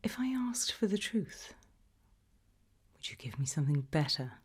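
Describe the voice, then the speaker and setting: A British woman playing a composed, probing, ominous interrogator in a whispered, breathy ASMR roleplay, questioning deliberately. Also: deep voice, very animated, heavily acted. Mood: eerie, probing. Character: composed, probing female interrogator of possessed people at a sinister institute.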